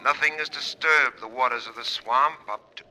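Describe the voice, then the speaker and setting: A man's voice: mid-range voice, moderate pace, bright, forceful tone, poor audio. A man playing a dramatic radio broadcaster in a 1940s radio thriller, with a theatrical, suspenseful delivery. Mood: ominous, suspenseful. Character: bold male radio broadcaster, dramatic, seized by terror. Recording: vintage 1940s radio recording.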